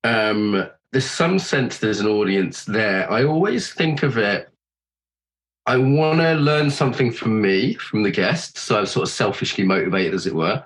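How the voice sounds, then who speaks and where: A man's voice: fairly low pitch, unhurried, poor audio. Chatty man with a cold, swearing casually. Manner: chatty, swears freely, thinks out loud. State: has a cold. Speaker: podcast host being interviewed. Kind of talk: casual chat.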